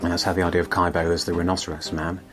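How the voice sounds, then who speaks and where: A man with a low, deep voice talks as a writer being interviewed. He explains in a measured, slightly halting way, with soft music underneath. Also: flat delivery. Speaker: scriptwriter. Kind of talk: behind-the-scenes interview.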